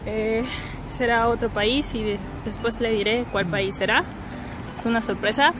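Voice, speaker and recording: A young woman from Ecuador chats to her viewers in a fairly high voice with an Ecuadorian accent. Her delivery is casual and giggly, the talk of a handheld outdoor vlog. Music plays clearly underneath her. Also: steady tone, measured pace. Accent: Ecuadorian Spanish. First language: Spanish. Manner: chatty, giggly. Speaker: vlogger. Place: outdoors on a riverside path at night. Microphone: handheld phone or camera.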